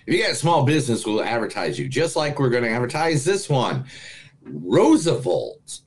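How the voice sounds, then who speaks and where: A man's voice: mid-range voice, big pitch swings, normal speed, breathy edge. A man co-hosting a movie podcast, talking in casual, teasing, crude banter. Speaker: podcast co-host.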